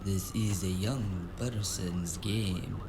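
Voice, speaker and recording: A man playing an older, courteous and unflappable gentleman in an audio drama, measured and dryly polite. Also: sits low, steady tone, very noisy. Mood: weary, polite. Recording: loud music under the voice.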